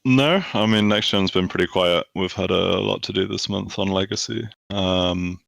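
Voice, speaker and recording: A man's voice: sits low, steady tone, moderate pace, gravelly, a bit bright, dry recording. A man co-hosting a casual game developer talk, answering briefly and offhandedly.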